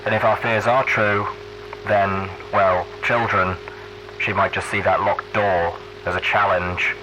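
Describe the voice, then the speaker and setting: Man acting a deep-voiced, solemn wasteland radio DJ. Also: flat delivery, measured pace, noisy background, lightly acted. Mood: grave, cautioning. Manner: folksy, solemn preaching. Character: earnest pony radio DJ addressing wasteland listeners as children. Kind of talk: post-apocalyptic radio monologue.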